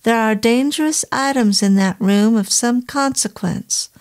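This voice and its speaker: A woman reading the part of a gentle middle-aged male innkeeper who speaks in stiff, oddly formal, halting English. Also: on the high side, voice pushed up. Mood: gentle, patient.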